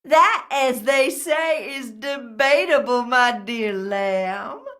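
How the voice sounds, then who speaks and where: An actress playing an eccentric, bitter younger sister in a video game, theatrical and mercurial, giggly and menacing by turns. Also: female voice, fairly high pitch, expressive, slow pace, fairly bright tone, dry recording, studio quality. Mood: teasing, evasive. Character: eccentric, bitter, unhinged younger sister living hidden in a crypt. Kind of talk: video game character acting.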